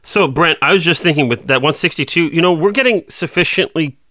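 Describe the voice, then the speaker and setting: A man co-hosts a casual podcast with a bright, forceful voice that sits a little higher than most. He throws in short joking quips, and his pitch moves around a lot. The sound is dry, with no room echo. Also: fairly quick. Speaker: podcast co-host.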